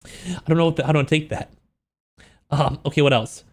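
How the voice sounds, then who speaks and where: A man livestreaming in a casual, slangy, joking way, with an animated, incredulous manner as he reacts. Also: a little high, normal speed. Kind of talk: casual livestream reaction with book read-outs.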